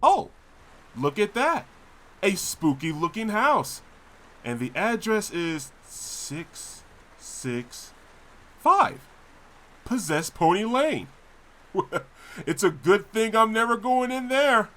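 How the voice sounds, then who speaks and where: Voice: mid-range voice, big pitch swings, slow, pauses often, fairly bright tone, slight background noise. An actor voicing a sarcastic, whiny cartoon pony, a reluctant hero who stammers exasperated protests in a comedic audio drama. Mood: breezy.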